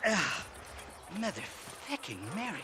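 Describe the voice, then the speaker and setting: An actor playing a wheedling, drunken Irish rogue in a video game, theatrical and mock-innocent. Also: male voice, high voice, big pitch swings, measured pace, background noise. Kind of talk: video game cutscene acting.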